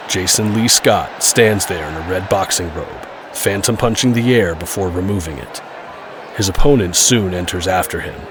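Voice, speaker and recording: A man narrating a scripted audio drama, describing the action. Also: low voice. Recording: clear urban background noise.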